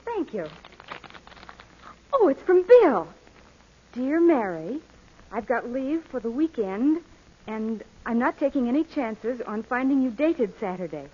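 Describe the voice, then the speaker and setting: An excited young woman in a 1930s radio commercial. Her high voice swoops with animation, on a muffled old recording. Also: unhurried, long pauses. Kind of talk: 1930s radio advertisement acting.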